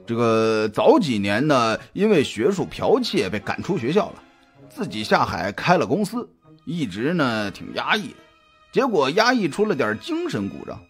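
A man playing a jovial, chatty private detective in an audio drama, talking colloquially with drawn-out hesitations in a husky voice. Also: medium pitch, big pitch swings. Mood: chatty, animated. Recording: music behind the voice.

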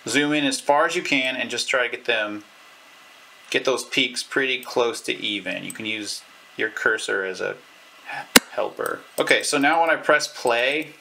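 A man in his thirties presents a casual home tutorial with a bright, forward voice at a medium pitch. He explains step by step in an informal, stop-and-start way. There is faint noise behind him, with small clicks. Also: normal speed, vocal fry. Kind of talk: casual explanatory how-to talk. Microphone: clip-on lavalier or camera mic.

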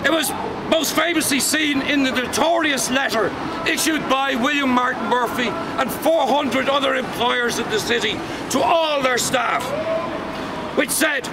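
Older Irish man, walking tour guide, high voice, emphatic reading from notes, heavy street traffic around him. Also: measured pace. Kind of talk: outdoor walking tour talk. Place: busy city street outdoors. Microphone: far camera. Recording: loud traffic noise outdoors.

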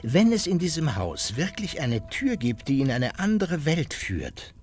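An actor playing a logical, probing professor in a German audio drama, deliberate and rhetorical. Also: male voice, on the high side, very animated, faint music behind. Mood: reasoning.

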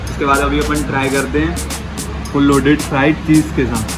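A man's voice: a little high, flat delivery, normal speed, over music. A young man, chatting casually to viewers as he films a food vlog on a phone held at arm's length in a cafe. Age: 20s. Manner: chatty, keeps addressing viewers as bhaiya. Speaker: food vlogger. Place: cafe, indoors and out front on a city street. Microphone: phone at arm's length, selfie. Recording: noisy street and cafe sound.

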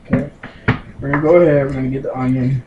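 A young man talks through a recipe at his kitchen counter, unhurried and full of little pauses. His voice bounces around the small room, picked up by a camera mic some distance away, with loud steady noise underneath. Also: medium pitch, roomy sound, highs cut off. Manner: unhurried, explains step by step with many fillers. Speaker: home cook. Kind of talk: casual cooking demonstration. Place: small home kitchen, indoors.

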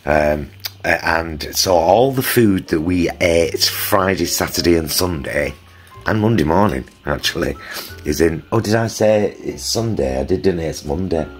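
Middle-aged Northern English man, very low voice, homely and affectionate food vlogger, music behind. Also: expressive, measured pace. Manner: affectionate, homely, addresses viewers as loves. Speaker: vlog host. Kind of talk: casual chatty food vlog narration.

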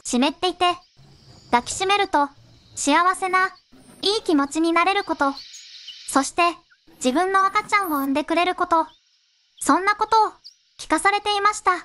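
A young-sounding synthetic woman narrating a fairy tale in measured storybook phrasing. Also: very high-pitched, sharp tone.

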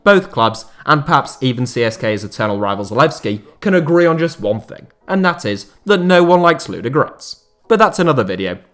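Guy narrating a scripted doc, medium pitch, calm and even, soft music behind. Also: a little fast. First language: not Bulgarian. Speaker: YouTube channel host.

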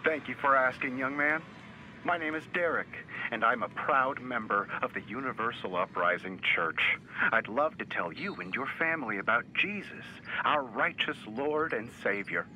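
An actor playing a cheerful, earnest church solicitor in a video game, effusively polite and preachy. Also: male voice, medium pitch, moderate pace, bright, forceful tone, a little hiss or hum, low-quality recording. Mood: chipper, preachy. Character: cheerful, earnest male church canvasser on the phone.

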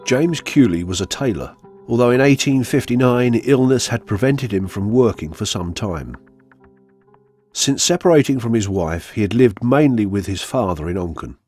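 A man reading a scripted true-crime story for radio in a measured, formal way. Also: medium pitch, expressive, darkish tone, husky, faint music behind. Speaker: narrator. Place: radio studio, dry sound.

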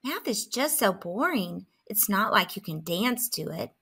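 A woman's voice: mid-range voice, very animated, unhurried, sharp tone, breathy edge, exaggerated voice. A woman playing a grumpy, dramatic math skeptic who complains and exclaims in a children's storybook. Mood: grumbling.

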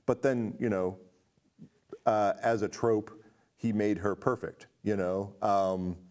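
A man's voice: on the low side, level tone, slow pace, a little mellow. A middle-aged man hosting a casual film discussion in an indoor studio. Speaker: host. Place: indoor studio set with curtains.

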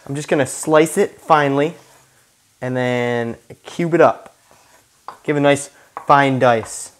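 A man's voice: mid-range voice, slow pace, slight background noise. A man in his thirties hosting a casual cooking demonstration in a home kitchen, joking with the camera as he works. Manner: laid-back, jokey, talks to camera while cooking. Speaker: cooking show host.

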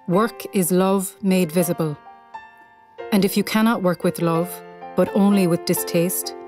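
A woman's voice: lowish voice, fairly even intonation, measured pace, darkish tone. A woman giving a recited reading of poetic prose. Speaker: reader. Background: piano music.

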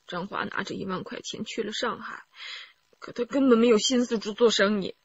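Husky, creaky aggrieved wife. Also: female voice, on the high side, a little fast, no room sound, dull audio. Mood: weary, bitter. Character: aggrieved wife confessing her husband's scheme to police. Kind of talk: radio drama testimony, recounting.

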